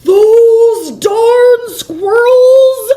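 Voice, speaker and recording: A woman voicing a grumpy old man from a storybook, yelling in drawn-out exclamations. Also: very high voice, voice pushed up, exaggerated voice. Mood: exasperated.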